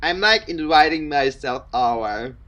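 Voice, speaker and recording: A man's voice: on the high side, expressive, measured pace, fairly bright tone. A man voicing a teasing, bubbly teenage girl from a visual novel in a casual, exclamatory way.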